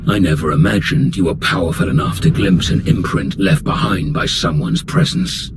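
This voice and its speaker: An arrogant old Sith lord from a video game. The voice sits very low and moves with deliberate, imperious contempt, full of menace. Music plays clearly underneath. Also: male voice, lively intonation, full character voice. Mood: cold contempt. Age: older. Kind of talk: scripted video game acting. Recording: music behind the voice.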